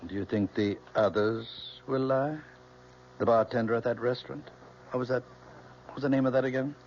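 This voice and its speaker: Actor as a gentle, gravelly professor father. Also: medium pitch, steady tone, close to an everyday voice. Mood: gently pressing. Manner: tender, measured, moralizing. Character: gentle, persuasive professor father of grown daughter. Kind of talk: 1970s radio drama acting. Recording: dull old radio broadcast, faint hum.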